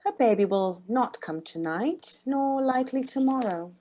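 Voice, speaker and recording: A woman's voice: fairly high pitch, expressive, moderate pace, warm tone, close to an everyday voice. A woman playing a stern, stoical housekeeper in her sixties in a drama table read, speaking formally and solemnly.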